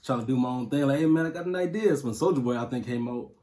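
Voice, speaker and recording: A man's voice: medium pitch, racing, dark tone, a little hiss or hum. A man in his thirties from Ohio, a music producer answering interview questions in a loose, casual way with plenty of fillers and slang. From Toledo, Ohio. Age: 30s.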